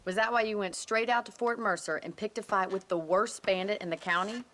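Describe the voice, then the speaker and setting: An actress playing a brisk, sardonic young woman rancher with an American accent in a Western video game, frank and teasing. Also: mid-range voice, fairly bright tone, close to an everyday voice. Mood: wry, teasing.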